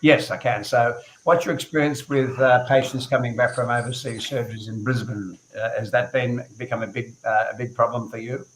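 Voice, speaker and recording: A man, a hair restoration surgeon, moderating a live panel discussion over a video call, speaking in a measured way with fillers and repeated words. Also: mid-range voice, fairly even intonation, slight background noise. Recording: roomy echo on a video call.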